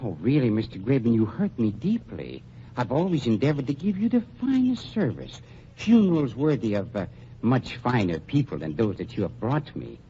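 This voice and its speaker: A man's voice: medium pitch, sing-song, warm tone, breathy edge. A man playing a silky scheming undertaker. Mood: unctuous. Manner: unctuous, falsely polite, with drawn-out pauses. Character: oily, smooth-talking male undertaker and blackmailer. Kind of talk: 1940s radio acting. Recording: dull lo-fi 1940s radio recording, faint hiss.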